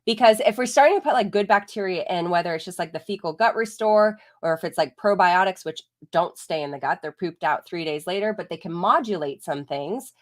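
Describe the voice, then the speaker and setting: A woman's voice: fairly low pitch, lively intonation, a little fast, hardly any pauses. Woman, 30s, holistic vet, stuffy from a cold, casual explainer tone. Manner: chatty, explanatory, frequent "like" and "right?". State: congested from a chest cold. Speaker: holistic veterinarian. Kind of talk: live-streamed educational talk.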